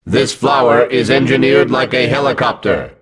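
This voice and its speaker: A man voicing a hulking combat robot in a video game, gruff and mechanical, giving clipped, vengeful commands. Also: mid-range voice, steady tone, moderate pace, a bit bright. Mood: cold, commanding.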